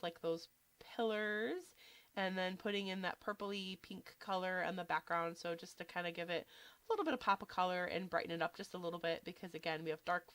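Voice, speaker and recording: A woman with a slight cold, a little nasal, casually narrating a game build in a relaxed, chatty way with lots of fillers. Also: mid-range voice, smooth, no room sound. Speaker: game building video creator.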